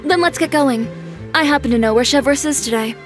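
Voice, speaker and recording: A woman playing a guarded, confident young fashion designer in a video game, speaking in a brisk, matter-of-fact way. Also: high-pitched, over music, close to an everyday voice. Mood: composed.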